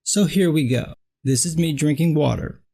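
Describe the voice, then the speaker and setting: Man, dry husky voice, mellow tone, sarcastic, blunt, scripted film commentary. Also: a little high, moderate pace. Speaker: film critic vlogger.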